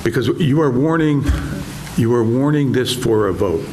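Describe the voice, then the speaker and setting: A man advising a town board, explaining procedure in a soft, measured way. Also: medium pitch, expressive, a little hiss or hum, low-quality recording.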